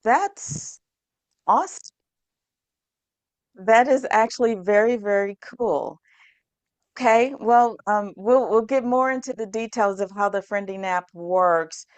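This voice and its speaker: Woman host, very slow, smooth and clear, dry close sound, hesitant questioner. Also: medium pitch, no room sound. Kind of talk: interview questions.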